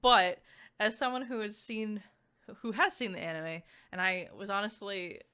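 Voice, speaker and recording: Woman, medium pitch, casual game reviewer, chatty, informal, halting delivery, frequent filler words. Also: steady tone, bright, forceful tone, clean tone. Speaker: video game reviewer and streamer.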